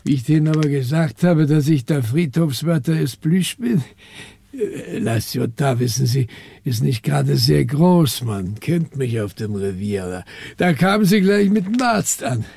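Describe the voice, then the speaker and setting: Flustered, husky-voiced cemetery caretaker. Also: male voice, a little high, a little slow, dry recording, exaggerated voice. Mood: nervous, chatty. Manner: garrulous, excitable, searching for words. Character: talkative, flustered cemetery caretaker in small French town. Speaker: actor in a radio crime drama. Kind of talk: 1960s German radio play acting.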